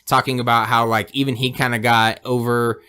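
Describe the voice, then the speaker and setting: A man in ordinary middle pitch reviews a film with his podcast co-host. He talks in halting starts and restarts and swears casually. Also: flat delivery, sharp tone, smooth.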